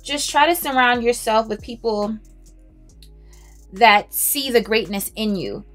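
Confident woman vlogger, chatty and emphatic, high bright voice, closet at home. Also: a little slow, soft background music. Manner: chatty, emphatic, self-assured, laughs often. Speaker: beauty vlogger. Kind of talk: casual chat while doing makeup. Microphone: camera on a stand, close.

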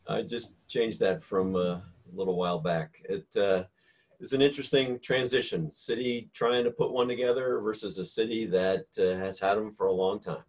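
A man moderating a conference panel over a video call, relaxed and hesitant with fillers. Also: lowish voice, moderate pace.

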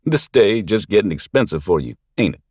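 A man's voice: lowish voice, very animated, fairly quick, darkish tone, husky, no echo, studio quality, pitched above the performer's own voice. A man voicing a big, barrel-chested male thug who jeers and bullies. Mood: jeering. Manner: howling, jeering.

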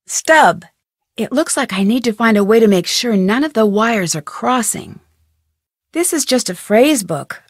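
A woman with an American accent playing a plucky, curious teenage girl detective in a video game, thinking aloud in a clear, chipper way. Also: a little high, dry recording, clean studio sound. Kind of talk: scripted video game voice lines.

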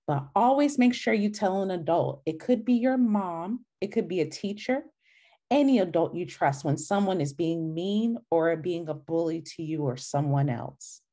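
A young woman with a fairly low, mellow voice leads a storytime for children. She speaks encouragingly and repeats things for young listeners, over a video call from home. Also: animated, normal speed. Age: young adult. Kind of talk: children's storytime reading and discussion. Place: small indoor room at home. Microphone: video call, laptop microphone.

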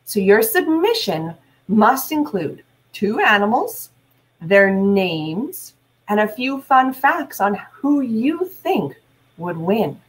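A woman, a teacher reading aloud and talking deliberately to young listeners. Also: mid-range voice, sing-song, low-quality recording. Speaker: teacher and read-aloud host. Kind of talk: read-aloud and instructional talk to children. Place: small indoor room at home.

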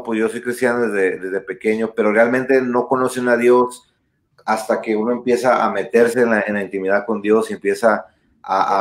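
A low-voiced man of thirty speaks over a webcam mic, his words bouncing around a small echoey room. He talks earnestly and haltingly, circling back with fillers and restarts as he explains things. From born in Texas, raised in San Diego and Tijuana. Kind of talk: casual interview over video call. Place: small room indoors at home. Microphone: webcam mic on video call.

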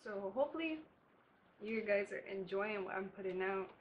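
A woman's voice: medium pitch, animated, normal speed, highs cut off, slight background noise. Chatty young woman from Barbados. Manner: chatty, casual, laughing asides while applying makeup. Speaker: beauty vlogger. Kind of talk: chatty makeup tutorial. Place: bedroom, indoors.

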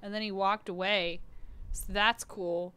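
A woman's voice: mid-range voice, steady tone, a little slow, sharp tone, smooth. A young woman live streaming a video game with casual, giggly commentary and some easy swearing.

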